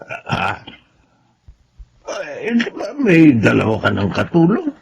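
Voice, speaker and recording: Casting: sympathetic household man, Filipino accent, gravelly lively delivery, radio drama, muffled noisy sound. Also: fairly high pitch, takes it slowly, heavily acted.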